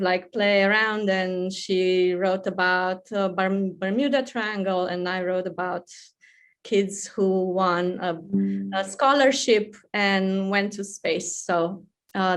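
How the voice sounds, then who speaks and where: Relaxed Romanian-born woman telling her story. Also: medium pitch. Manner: relaxed, with fillers. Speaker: guest poet. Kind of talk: conversational life story.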